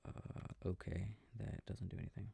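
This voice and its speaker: A man playing an affectionate, easily confused boyfriend in a soft sleep-aid roleplay, muttering casually and hesitantly to himself over a video game, close to the microphone. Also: low-pitched, flat delivery, moderate pace, vocal fry, slightly rough, no room sound, full character voice. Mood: puzzled, absorbed. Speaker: audio roleplay performer. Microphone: close microphone, dry. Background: controller clicking sounds.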